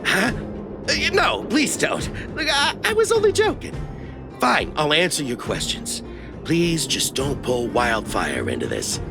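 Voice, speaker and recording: A man's voice: a little high, wildly swooping pitch, a little slow, gravelly, full character voice. Blustering, wheedling game merchant: shifty salesman, extremely swooping intonation, theatrical, over background music. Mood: panicked, pleading. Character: shifty, blustering merchant, proud and easily cowed. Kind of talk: video game voice acting.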